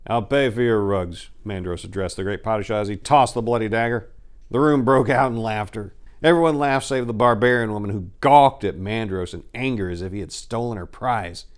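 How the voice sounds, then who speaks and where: A man's voice: lowish voice, a little fast, clear, resonant tone. A man narrating a fantasy story as a dramatic reading, in a theatrical storytelling manner.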